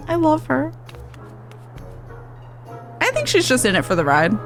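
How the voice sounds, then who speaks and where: A woman's voice: high voice, huge pitch swings, slow, over music. A woman chatting casually as she streams a game playthrough, playful and giggly, reading quest dialogue aloud.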